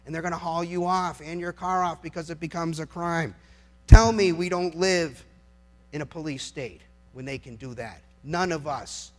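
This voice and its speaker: An American man giving an impassioned political speech to an audience, emphatic and dramatic, punctuated by rhetorical questions. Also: on the high side. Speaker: public speaker, lawyer.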